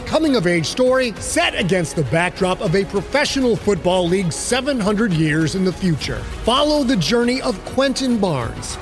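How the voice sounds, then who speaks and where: High-voiced man, dramatic promo read. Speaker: promo announcer. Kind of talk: dramatic scripted podcast promo. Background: faint music.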